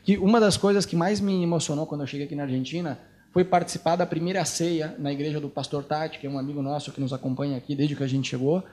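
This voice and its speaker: A Brazilian man with a Brazilian Portuguese accent hosts a missionary talk show, making his points with emphasis and frequent laughter. His voice sits high and his words come out quickly. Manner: animated, emphatic, laughs often. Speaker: missionary host.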